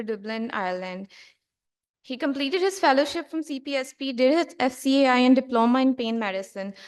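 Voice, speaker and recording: A woman moderating a conference session, politely introducing the next speaker and reading out his credentials. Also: high voice.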